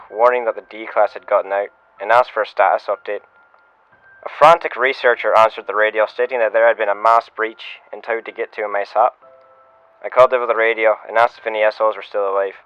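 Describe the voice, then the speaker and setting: A man playing a shaken security officer, giving a measured first-person incident report as a scripted audio log. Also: lowish voice, close to an everyday voice. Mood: tense recounting. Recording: muffled lo-fi radio-style sound, music behind. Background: music.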